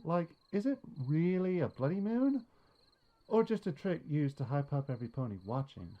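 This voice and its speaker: A man's voice: on the high side, very animated, moderate pace, highs cut off. A man playing a teasing, level-headed young male pony in an audio story. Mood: curious.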